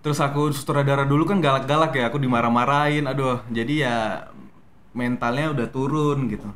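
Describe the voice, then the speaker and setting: A young man from Medan with a softened Medan Indonesian accent, a guest actor telling his story in a relaxed, hesitant way with many fillers. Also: medium pitch, a little fast. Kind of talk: casual interview talk.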